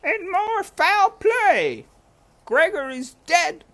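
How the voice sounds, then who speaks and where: Shrieking, wailing castle cook. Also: female voice, very high voice, sing-song, very slow, voice pushed up, heavily acted. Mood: distraught. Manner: wailing, shouting, broad and coarse. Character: ferocious stout female castle cook, loud and weepy.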